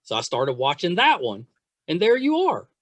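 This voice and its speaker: A man's voice: a little high, huge pitch swings, moderate pace, a bit bright, dry recording. A middle-aged man hosting a casual chat interview, gushing and repeating himself for emphasis. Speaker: podcast host interviewing an actress.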